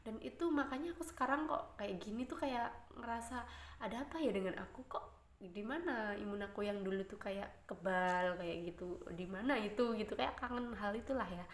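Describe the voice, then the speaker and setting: A woman's voice: medium pitch, normal speed. A woman vlogging from home with a stuffy, congested voice, chatting to viewers in a rambling way with many fillers. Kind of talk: casual chat to viewers. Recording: echoey room, faint ambient noise.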